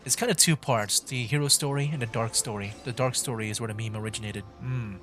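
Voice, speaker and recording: A man gives casual commentary on a game livestream. His pitch is average, and he talks his way through things in hesitant, halting bursts. Light music plays behind him. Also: fairly bright tone. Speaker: streamer hosting a video game livestream.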